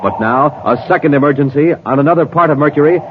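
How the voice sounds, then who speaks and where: A man's voice: a little high, quick pace. An American man announcing and narrating a 1950s radio show with a dramatic, emphatic showman delivery. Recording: muffled, noisy 1950s radio recording.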